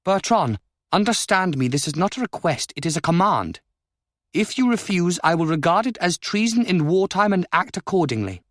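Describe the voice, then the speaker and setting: A cold, commanding countess of high rank, put on by a male reader, her voice lifted well above his own and each word set down hard. Her tone is brittle and forceful, and the recording sits close and dry with no room around it. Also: very animated, moderate pace, no room sound. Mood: icy. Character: imperious commanding noblewoman, a countess.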